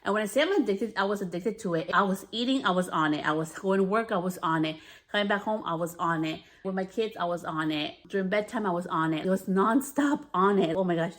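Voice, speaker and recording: A woman in her thirties with a deeper natural voice, hosting a podcast in a frank, candid monologue that swears freely. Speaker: podcast host.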